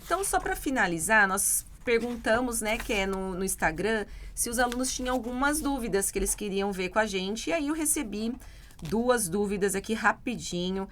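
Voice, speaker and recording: A woman's voice: medium pitch, animated, moderate pace, a bit bright, slight background noise. A middle-aged Brazilian woman, an English teacher co-hosting a podcast, talking earnestly in casual chat and answering listener questions directly. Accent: Brazilian Portuguese.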